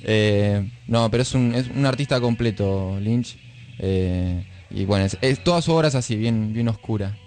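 A man with an Argentine accent co-hosting a casual radio chat in an informal, halting way. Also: on the low side, a little mellow. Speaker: radio show co-host. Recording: music playing behind the voice. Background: music.

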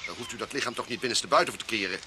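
An actor playing an impatient, sarcastic police inspector in a radio drama, brusque and questioning. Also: male voice, medium pitch, lively intonation, very fast, lightly acted. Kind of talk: Dutch radio drama acting. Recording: clearly noisy radio play soundtrack.

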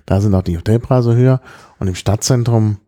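Middle aged guy, lowish soft dark voice, casual travel stories, hesitates and clears his throat a lot. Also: fairly quick. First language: German. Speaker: podcast guest telling about his trip. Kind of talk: casual chat, travel anecdotes.

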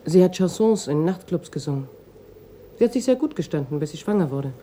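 An actress playing a reserved, bereaved daughter of almost thirty in a German radio crime drama, speaking in short, matter-of-fact sentences. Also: female voice, fairly low pitch, lively intonation, brisk, warm tone, a little hiss or hum, lightly acted. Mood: matter-of-fact, reflective. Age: young adult.

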